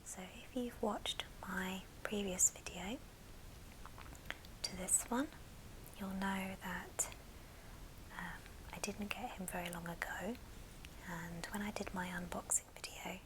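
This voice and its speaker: A woman whispering softly and breathily in a gentle, affectionate ASMR show and tell. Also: mid-range voice, fairly even intonation, slow pace, pauses often, noisy background. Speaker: ASMR video host.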